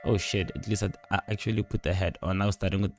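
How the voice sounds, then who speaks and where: Male, young adult, lowish voice. Music producer teaching casually at the computer, playful and slangy, clear music underneath. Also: fast talker. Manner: playful, rambling, slangy, with excited interjections. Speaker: music producer giving a tutorial. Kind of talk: casual, unscripted beat-making tutorial. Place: indoor home studio at a computer. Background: loud instrumental beat and instrument sounds playing.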